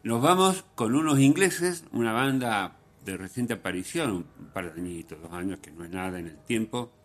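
Deep-voiced Argentine man hosting radio. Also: expressive, a little hiss or hum. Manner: rambling, halting delivery with repeated words and rhetorical tags. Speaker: radio host. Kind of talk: casual music commentary on a radio show.

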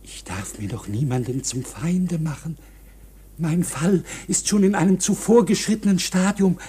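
An actor playing a timid, worn-down litigant in a radio drama, anxious, halting and confiding, with a husky voice that often drops to a whisper. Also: male voice, a little high, warm tone. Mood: furtive, resigned. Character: timid, worn-down male litigant, obsessed with waiting. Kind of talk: German radio drama acting.

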